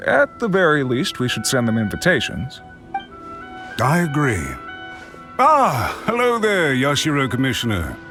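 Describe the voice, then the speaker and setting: A man's voice: medium pitch, huge pitch swings, measured pace. An actor playing a polite, formal male clan retainer who welcomes guests in a game. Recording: game audio with faint music behind.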